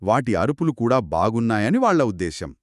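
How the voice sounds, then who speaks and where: Animated male children's storyteller. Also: medium pitch, a little fast, no room sound, studio quality. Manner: expressive, explanatory, acts out characters' quoted lines. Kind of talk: conversational two-person storytelling for children.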